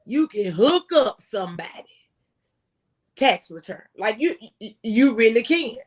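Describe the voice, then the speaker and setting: A woman's voice: fairly high pitch, big pitch swings, measured pace. An American woman speaking as a former tax agent, giving folksy, emphatic advice and repeating phrases for stress. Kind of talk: impassioned conversational tax advice.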